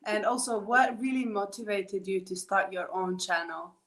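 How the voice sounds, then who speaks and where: A woman's voice: medium pitch, moderate pace, a bit bright. A woman hosting a podcast interview, asking questions hesitantly with fillers. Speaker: podcast host.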